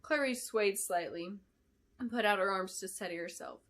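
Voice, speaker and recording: Woman, bright forceful voice, dramatic fantasy narration, expressive storytelling, deliberate delivery. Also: medium pitch. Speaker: author reading her book.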